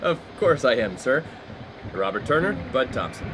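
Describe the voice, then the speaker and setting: A man's voice: medium pitch, very animated, moderate pace, background noise, lightly acted. A man playing a brash young college friend in a comic radio play, pompous, wordy and playful. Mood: mock-formal, smug. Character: brash, inappropriate young male college friend, mock-formal prankster. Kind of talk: 1950s-set comic radio play acting.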